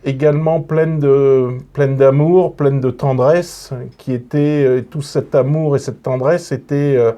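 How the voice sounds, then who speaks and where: A man from northern France, a guest on a radio interview, telling his story slowly with many restarts and repeated words. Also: medium pitch, steady tone, warm tone. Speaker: guest, retired police officer.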